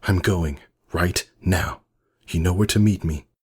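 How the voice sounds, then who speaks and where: Man voicing a defiant raised-pitch pegasus mother. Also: measured pace, husky. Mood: urgent, resolute. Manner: resolute, intense. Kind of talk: dramatic fan fiction reading.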